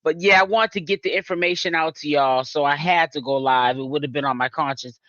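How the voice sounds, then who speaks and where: A man's voice: a little high, fairly quick, sharp tone, no room sound, muffled recording. A man from Boston hosting a casual live-stream commentary, chatting straight to his viewers. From Boston, Massachusetts. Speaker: YouTube commentary host.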